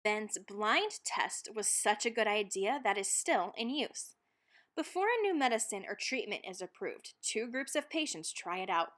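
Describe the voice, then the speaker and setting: A woman reading a children's picture book aloud expressively, with dramatic, deliberate emphasis on key phrases. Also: medium pitch, very animated, fairly bright tone. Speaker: read-aloud narrator.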